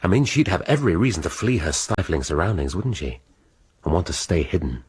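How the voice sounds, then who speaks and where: An actor playing a brooding, bookish senior English detective who speaks in a measured, reflective way with dry wit. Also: male voice, sits low, sing-song, slightly rough, exaggerated voice. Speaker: police detective inspector. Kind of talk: radio drama.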